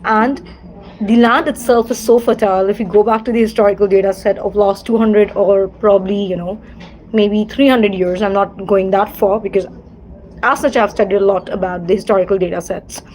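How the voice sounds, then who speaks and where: A woman's voice: mid-range voice, steady tone, moderate pace, darkish tone, slight background noise, low-quality recording. A woman with an Indian English accent, hosting a livestream, talking in a discursive way and circling back to the same set phrases. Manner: discursive, repeats set phrases, frequent fillers. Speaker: livestream host. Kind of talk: casual livestream monologue.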